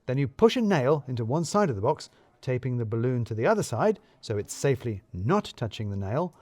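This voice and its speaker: A man's voice: mid-range voice, a little mellow, no room sound. A man narrating a scripted first-person audio drama in a wry, conversational, confiding way.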